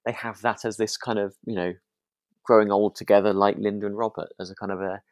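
A man's voice: fairly low pitch, steady tone, brisk, no echo, clean studio sound. A British man co-hosting a podcast in casual chat, agreeable and a little rambling as he feels his way through sentences. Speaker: podcast co-host.

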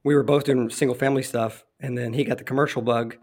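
A man hosting a podcast interview while losing his voice, talking in a rambling, friendly way and clearing his throat. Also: mid-range voice, fairly even intonation, quick pace, darkish tone. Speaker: podcast host, real estate investor. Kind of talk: casual interview conversation.

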